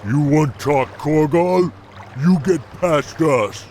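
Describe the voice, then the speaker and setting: A man voicing a brutish, dim, proud ogre warrior in a video game, speaking in blunt broken grammar with a rough voice. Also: fairly high pitch, slow, darkish tone, a little hiss or hum. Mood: gruff, challenging. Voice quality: gravelly, rough. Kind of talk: video game cutscene acting. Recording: music behind the voice.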